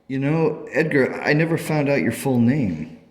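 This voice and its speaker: A man's voice: medium pitch, sing-song, darkish tone. Man playing a reflective father, in long reverb. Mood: warm, curious. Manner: reflective, measured, sincere. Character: grateful, thoughtful father telling his strange story. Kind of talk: radio play dialogue and first-person narration.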